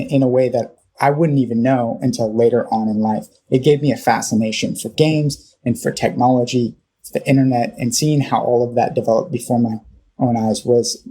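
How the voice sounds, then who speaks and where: A man with a Californian accent tells his own story in a reflective, conversational way. His voice is mellow and noticeably husky at a middle pitch. He moves haltingly, often pausing partway through a phrase. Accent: Californian American. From Southern California, United States. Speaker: interview guest.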